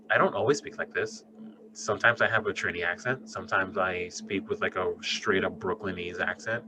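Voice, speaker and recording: A man's voice: fairly low pitch, background noise. A man co-hosting a podcast, talking in a casual, earnest, reflective way and swearing freely. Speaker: podcast co-host, doctor. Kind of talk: casual conversation.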